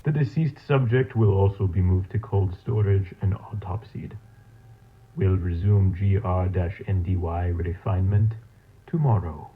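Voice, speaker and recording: Man acting as coldly clinical mad scientist, tinny tape. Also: low-pitched. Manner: formal, measured, clinical. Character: coldly clinical male mad scientist dictating experiment logs. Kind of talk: analog horror audio log acting. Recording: tinny, phone-like tape log sound.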